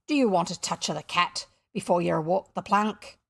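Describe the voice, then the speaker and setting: A woman's voice: on the low side, animated, slow pace, gravelly, no echo, studio quality, exaggerated voice. A woman voicing a villainous male pirate captain in a storybook, theatrical and menacing, with mock courtesy. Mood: gleeful menace. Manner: purring, steely threats and thundering outbursts.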